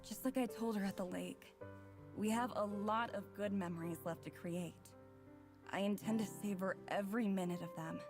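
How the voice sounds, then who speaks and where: A woman's voice: medium pitch, fairly even intonation, moderate pace, close to an everyday voice. Actress as reflective young woman over music. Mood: wistful, hopeful. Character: Maddie, reflective, wry young woman narrating her own story. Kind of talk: first-person visual novel narration. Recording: music playing clearly underneath.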